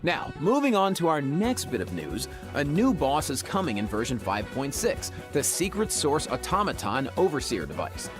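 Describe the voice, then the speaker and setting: A man with a fairly high voice hosts a game showcase. He explains features with scripted enthusiasm and a very lively melody in his delivery, while music plays clearly behind him. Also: moderate pace, hardly any pauses. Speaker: presenter of a game preview program.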